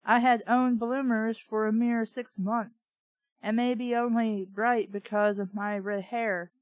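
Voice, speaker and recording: A woman's voice: a little high, flat delivery, slow, no echo, highs cut off. A woman reading fiction aloud and breaking in with sarcastic, irreverent, exasperated commentary. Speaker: book review host.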